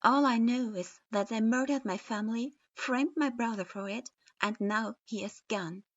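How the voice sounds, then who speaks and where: A woman voicing a grim, earnest boy ninja who speaks gravely and with determination. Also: on the high side, moderate pace, a bit bright. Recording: dull lo-fi recording.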